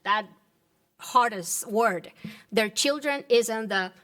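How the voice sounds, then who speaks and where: A woman from the Dominican Republic making a public comment at a government meeting, earnest and halting as she searches for words. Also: a little high, a bit bright. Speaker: resident speaking at public comment.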